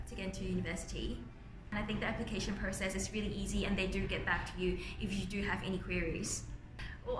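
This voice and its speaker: A young woman in her twenties from Thailand, speaking English with a Thai accent, earnestly answering interview questions as a medical student. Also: mid-range voice. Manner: earnest, plain-spoken, slightly non-native grammar. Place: indoors by large windows, university building. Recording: roomy echo with clear ambient noise.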